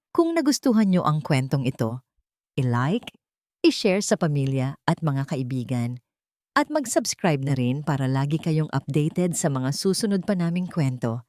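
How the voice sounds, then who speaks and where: A woman's voice: low-pitched, a little mellow, no echo, studio quality. A woman giving an earnest, scripted dramatic narration as a sentimental storyteller. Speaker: storyteller and channel host.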